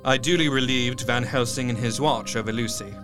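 A man as a melancholy doctor, audio drama diary narration, mid-pitched, measured, sombre, formal, music underneath. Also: natural voice. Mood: weary, sorrowful.

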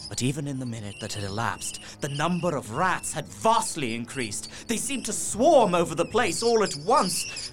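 An actor playing an earnest young gentleman who narrates his horror journal in an audio drama, in a measured, literary and haunted manner. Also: a little high, natural voice. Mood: tense, alarmed.

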